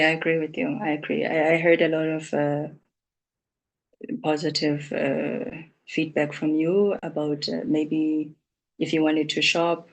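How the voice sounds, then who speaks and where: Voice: mid-range voice, low-quality recording. An English teacher leading an online speaking class, encouraging the learners and affirming their points. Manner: encouraging, affirming with "truly" and "mm-hmm". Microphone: video call.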